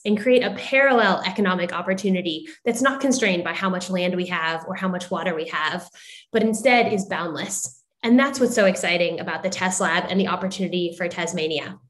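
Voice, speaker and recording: A middle-aged American woman from the United States giving an upbeat, articulate prepared presentation as moderator on a video call. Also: medium pitch. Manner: upbeat and articulate, occasional fillers. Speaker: moderator introducing startups.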